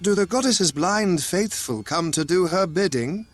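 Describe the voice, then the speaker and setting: A man's voice: high-pitched, animated, measured pace, soft background music. An actor playing a mocking, theatrical villain in a video game, condescending and archaic in his phrasing. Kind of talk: video game cutscene acting.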